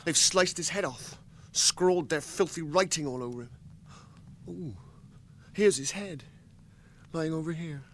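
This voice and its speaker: An actor playing a blunt, loyal, grumbling hobbit servant in a radio drama. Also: male voice, on the high side, a little slow, long pauses, slight background noise. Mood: glum, pitying. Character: plain-spoken, suspicious, loyal hobbit servant, grumbling and homely.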